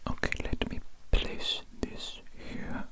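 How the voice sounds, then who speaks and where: A woman whispering breathily and soothingly close to the microphone in an ASMR doctor roleplay, often softly repeating her phrases. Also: slow pace.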